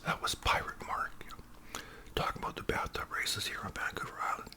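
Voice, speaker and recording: Whispering Canadian man, casual ASMR chat. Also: brisk. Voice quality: breathy whisper. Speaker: ASMR video creator and host.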